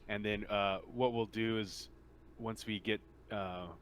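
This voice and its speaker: Man, low bright voice, game master, casual halting rules explanation, faint background noise. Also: steady tone.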